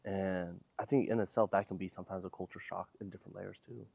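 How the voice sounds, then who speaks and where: A man's voice: low voice, fairly even intonation, fast, creaky, warm tone, husky, dry recording. A man hosting a casual podcast interview, asking rambling, laid-back questions full of slang and fillers. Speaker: podcast host.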